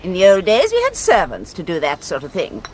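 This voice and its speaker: A woman's voice: on the low side, huge pitch swings, brisk. Haughty elderly Englishwoman, dramatic swoops. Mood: prim. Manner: prim, imperious, cutting. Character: haughty, sharp elderly genteel spinster, secretly scheming. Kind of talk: radio drama acting. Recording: noisy outdoor-sounding drama scene.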